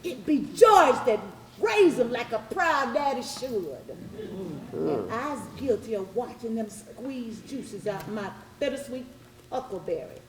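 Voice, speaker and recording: A high, sweeping voice of a formerly enslaved Southern woman, speaking slow poetic plantation dialect. She is aching and defiant in love, delivering a stage monologue in a roomy theatre with an audience around her. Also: big pitch swings, background noise. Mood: sorrowful. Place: stage before a live audience.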